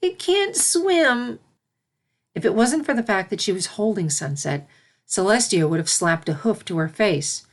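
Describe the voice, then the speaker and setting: A woman's voice: fairly low pitch, expressive, moderate pace. A woman narrating a scripted story as its reader.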